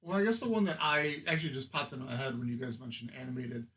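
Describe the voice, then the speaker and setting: A man's voice: mid-range voice, steady tone, quick pace, pushed and bright. A man chatting as a podcast guest, explaining things in a casual, conversational way.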